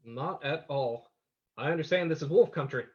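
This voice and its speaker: Man acting a shrewd, higher-voiced sleuth. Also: moderate pace, close to an everyday voice. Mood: composed, shrewd. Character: composed, shrewd amateur sleuth hunting a spy. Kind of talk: old-time radio drama acting. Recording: dull lo-fi recording.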